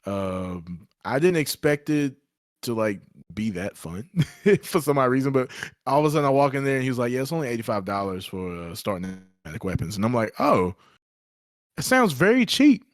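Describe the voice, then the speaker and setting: Animated man telling a story, dry sound. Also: mid-range voice, a little fast, no echo. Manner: animated storyteller, swearing, laid-back slang. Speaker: podcast co-host. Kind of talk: casual chat.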